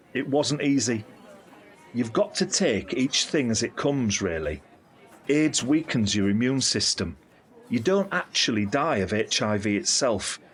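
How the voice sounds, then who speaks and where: An actor playing a candid, caring middle-aged uncle in an audio drama, speaking in an earnest, reflective, explanatory way. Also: male voice, mid-range voice, lightly acted. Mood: sober, explanatory. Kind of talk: scripted audio drama dialogue.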